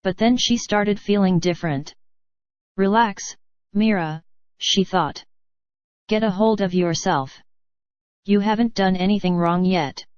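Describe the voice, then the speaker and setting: A woman's synthetic text-to-speech voice reading novel narration with a measured, slightly stilted cadence. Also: mid-range voice, darkish tone, no echo, muffled recording. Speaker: audiobook narrator.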